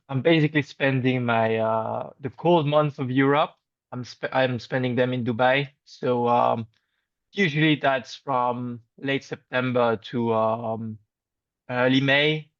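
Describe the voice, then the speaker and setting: A man's voice: medium pitch, fairly even intonation, measured pace, fairly bright tone, no room sound. A man with a French accent, a guest in a casual video call chat, speaking hesitantly with frequent fillers and restarts in a friendly way. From France. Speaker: interview guest. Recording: dull lo-fi video call audio.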